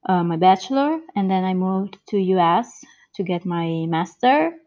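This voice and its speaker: A woman in her thirties with an Italian accent talks slowly as a podcast guest. She hesitates, restarts her sentences and fills the gaps with ums. Her audio sounds slightly muffled and dull. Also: mid-range voice, animated, a little mellow. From born in the US, grew up in Italy. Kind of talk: interview answers.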